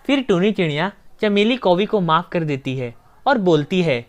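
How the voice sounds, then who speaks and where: A woman's voice: fairly low pitch, lively intonation, moderate pace. A woman narrator reading a scripted children's story.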